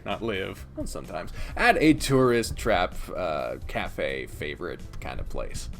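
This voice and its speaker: Animated, blunt man reviewing games. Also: mid-range voice. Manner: blunt, emphatic, sweary, exasperated. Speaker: video host reviewing games. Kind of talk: opinionated, casual ranked review commentary.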